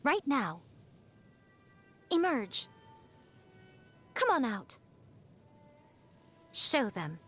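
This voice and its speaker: A woman's voice: sits high, big pitch swings, drawn-out words, long pauses, a bit bright, heavily acted. A woman voicing a video game fox spirit shrine priestess, elegant, playful and haughty, with a theatrical drawl over background music. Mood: commanding, amused. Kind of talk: scripted video game character voice lines.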